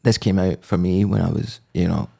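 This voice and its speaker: A man in his thirties with a low voice, co-hosting a casual, comedic chat about music. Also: little pitch movement, moderate pace, gravelly, warm tone. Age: 30s. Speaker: podcast co-host.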